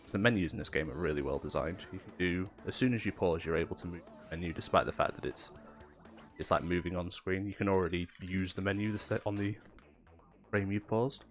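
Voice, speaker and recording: A man with a very low voice talks through his speedrun in a relaxed, explanatory way, with plenty of ums along the way. He sounds nasal and stuffed up from a cold. Faint game music plays underneath. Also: fairly quick. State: has a cold. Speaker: speedrunner commentating his own run. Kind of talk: casual technical commentary.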